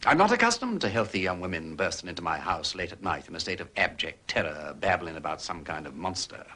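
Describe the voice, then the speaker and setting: An aristocratic English sleuth in a period mystery. The voice is bright and rather husky, swinging widely in pitch, with ironic, clipped questioning and a sceptical edge. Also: male voice, big pitch swings, fast talker, exaggerated voice. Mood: sceptical, wry. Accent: upper-class English. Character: aristocratic gentleman detective, wry, sharp and sceptical. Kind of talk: British period mystery drama acting.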